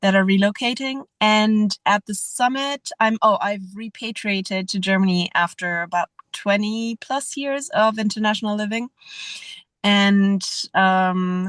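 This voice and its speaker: A woman speaking on a video call as an event speaker, hesitant and halting at times. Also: medium pitch, fairly bright tone, clear, resonant tone, no echo.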